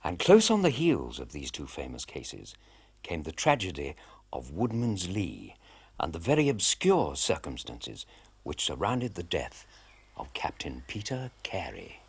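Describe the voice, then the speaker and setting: A man narrating a radio drama in a measured storytelling manner. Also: deep voice, huge pitch swings, breathy edge.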